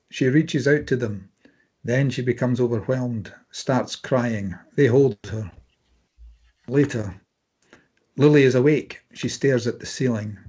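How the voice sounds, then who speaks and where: A man's voice: medium pitch, a little mellow, breathy edge. A man reading stage directions as scripted narration for a drama table read.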